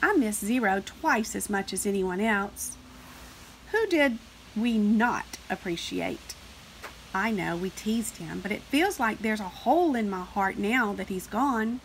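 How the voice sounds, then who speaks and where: A woman voicing chatty, sentimental cartoon counting numbers from a picture book, earnest and easily excited. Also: medium pitch, unhurried, a little hiss or hum, rough recording. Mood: wistful.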